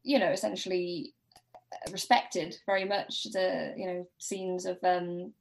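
Gravelly-edged woman thinking aloud. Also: fairly low pitch, level tone, a little slow. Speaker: podcast guest, academic researcher. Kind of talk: informal podcast interview discussion.

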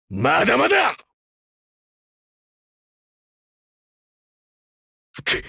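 A male actor playing a rugged older vampire hunter turned teacher in a game, wry, laconic and gruffly fatherly. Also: very high voice, pushed and bright, no echo, studio quality, heavily acted. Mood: fierce battle cries. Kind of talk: Japanese mobile game character voice lines.

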